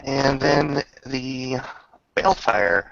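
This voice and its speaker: Gravelly, bright-voiced man running a tabletop game. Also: mid-range voice, extremely slow, no echo, muffled recording. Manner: informal, descriptive, profane. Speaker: game master. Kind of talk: tabletop game narration, casual.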